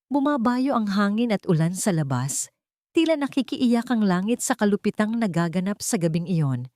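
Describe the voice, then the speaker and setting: A woman narrating a dramatic story with steady scripted delivery. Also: medium pitch, moderate pace, no echo, studio quality.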